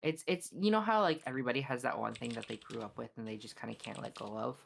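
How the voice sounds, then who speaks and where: A woman's voice: a bass voice, quick pace, vocal fry, a little hiss or hum. A Canadian-born woman whose first language is Polish, chatting as a stream guest over a voice call, hesitant with many restarts. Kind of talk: casual chat.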